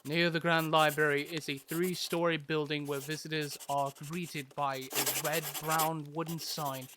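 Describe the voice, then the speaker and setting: A man's voice: fairly high pitch, little pitch movement, unhurried, background noise, close to an everyday voice. A man playing a doctor who narrates a fantasy tale in scripted storybook style. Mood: storytelling.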